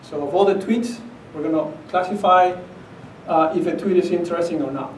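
A man's voice: on the high side, fairly quick, slight background noise. Argentine man lecturing in an echoey hall. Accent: Argentine Spanish. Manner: emphatic, conversational, often checks with "okay?". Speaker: conference speaker. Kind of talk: academic conference talk. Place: conference room with projector screen. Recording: echoey conference room, captured from a distance.

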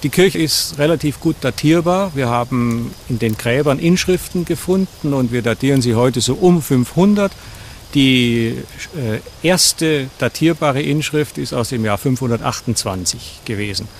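A man giving an unscripted expert explanation in an interview, speaking in a halting, explanatory way. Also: medium pitch, expressive. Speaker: archaeologist interviewee. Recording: clear ambient background noise.

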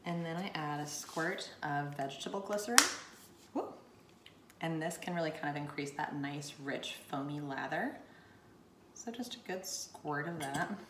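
A woman in her thirties, slightly hoarse from losing her voice, chatting through a home how-to demonstration at her bathroom counter. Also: deep voice. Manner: chatty, explains while demonstrating with hands. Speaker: home DIY vlogger. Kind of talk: casual how-to vlog. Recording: roomy home recording, faint hiss.

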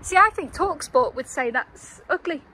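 A woman's voice: high-pitched, animated, moderate pace, music in the background. A young woman giving chatty, opinionated vlog commentary outdoors, filmed on a handheld phone. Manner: chatty, opinionated, self-interrupting. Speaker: vlogger. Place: outdoors by a football stadium. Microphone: handheld phone at arm's length. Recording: outdoor noise behind the voice.

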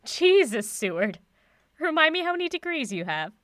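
An actress playing a confident, brisk woman professor with a dry wit in a naturalistic audio drama. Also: high-pitched, very animated, a bit bright, dry recording. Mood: wry, teasing. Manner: brisk, wry, decisive.

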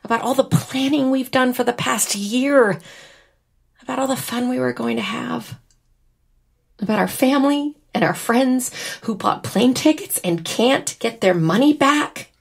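A woman's voice: a little high, a little slow, creaky. A woman playing a wry, wistful young American bride in a naturalistic audio drama. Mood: wistful, upset.

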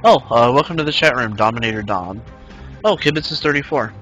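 A man's voice: mid-range voice, lively intonation, a little fast, lo-fi sound, music in the background. A man in his twenties casually streaming a game, rambling and reading the chat aloud. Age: 20s. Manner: rambling, reads chat aloud, many fillers. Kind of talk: casual live-stream chat while gaming.

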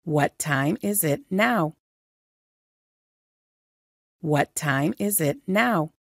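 A woman with a low voice repeats short practice phrases, each one slowly and carefully. Her pitch rises and falls a lot. The recording is dry and close. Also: lively intonation, dry recording. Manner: slow, careful phrase repetition. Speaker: English teacher. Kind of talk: repeating phrases for language learners.

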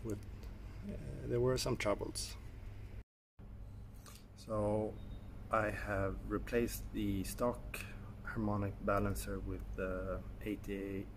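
A man in his thirties with a Swedish accent, talking casually to a phone camera in a garage, with many pauses and fillers. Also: on the low side, fairly even intonation, creaky, a little hiss or hum. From Sweden. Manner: halting, many fillers and self-corrections. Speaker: car hobbyist vlogger. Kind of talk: casual selfie vlog. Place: indoor garage. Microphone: phone held at arm's length.

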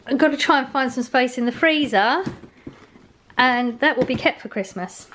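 A woman's voice: sits high, normal speed, a bit bright, a little hiss or hum. Chatty woman rambling about bargains. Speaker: vlogger. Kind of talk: casual grocery haul chat. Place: home kitchen, indoors.

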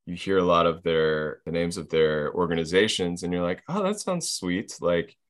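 A man's voice: deep voice, animated, normal speed. A man in casual conversation, rambling with many fillers and a sardonic edge.

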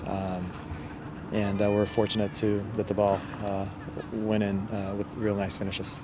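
A middle-aged man, a soccer coach, giving a post-match interview in a halting, measured way with repeated words, outdoors on a field. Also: on the low side, monotone. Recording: outdoor field recording with loud ambient noise.

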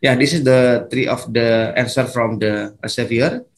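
A man with an Indonesian accent, a native Indonesian speaker, giving an online academic lecture in a halting way, full of fillers and restarts. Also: medium pitch, fairly even intonation. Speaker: guest lecturer.

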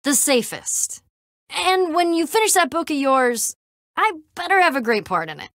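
Wry young adventurer girl from a video game, high voice, super dry studio sound, casual and snarky. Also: big pitch swings, normal speed, a bit bright, no echo, heavily acted. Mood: casual, teasing. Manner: casual, sarcastic, plain-spoken.

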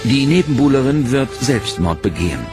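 A man giving scripted, measured documentary narration in a dramatic tone. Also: medium pitch, big pitch swings. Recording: narration over loud music.

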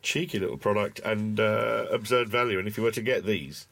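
A man's voice: lowish voice, steady tone, brisk, gravelly, fairly bright tone. A middle-aged British man giving a casual hands-on product review in a chatty, colloquial way, with wry asides. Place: small cluttered indoor desk workspace.

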